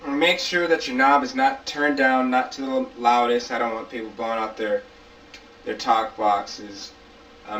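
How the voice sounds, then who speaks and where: A man's voice: mid-range voice, sharp tone. A man hosting an informal hands-on gear tutorial, explaining step by step in colloquial language and repeating key points. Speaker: tutorial host. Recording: distant mic, dull lo-fi camcorder sound.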